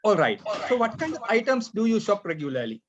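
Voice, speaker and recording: A man running a mock speaking exam as tutor, talking haltingly with many fillers and often clearing his throat, heard over a video call. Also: sits high, very animated, background noise. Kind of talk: examiner questions over an online class. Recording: dull lo-fi online call audio.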